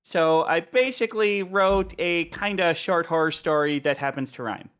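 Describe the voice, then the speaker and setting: A man's voice: a little high, moderate pace, fairly bright tone, smooth. A man talking casually and playfully as a guest on a livestream. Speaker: streamer guest, poet.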